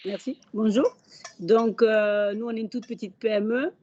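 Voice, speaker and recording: A woman managing director giving a webinar testimony in a hoarse, matter-of-fact way. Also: fairly high pitch, steady tone, normal speed, noisy background. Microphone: video call. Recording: dull lo-fi video call audio.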